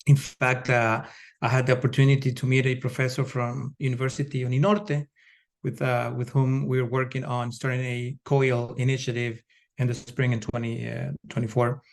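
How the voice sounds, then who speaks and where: A man's voice: mid-range voice, level tone, normal speed. Man from ecuador, polite panel talk, lots of uhs. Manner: polite, frequent "uh" fillers. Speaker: panelist, education professor. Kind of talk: panel discussion remarks.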